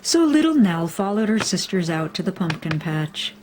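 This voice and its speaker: A woman reading a children's story aloud, expressively and deliberately, with dramatic emphasis. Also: lowish voice, sing-song, a little mellow. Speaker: storybook reader. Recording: faint background noise.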